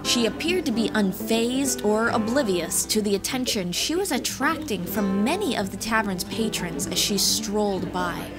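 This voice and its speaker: A woman reads a fantasy story as its narrator, in a mid-range voice. Music plays clearly behind her scripted narration. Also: mid-range voice, lively intonation, normal speed, hardly any pauses.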